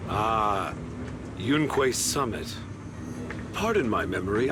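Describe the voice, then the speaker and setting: A man's voice: medium pitch, noisy background. A man voicing a condescending, pompous major general in a video game, commanding and slyly sarcastic. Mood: feigned politeness. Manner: pompous, deliberate, veiled sarcasm. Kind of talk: scripted video game voice acting.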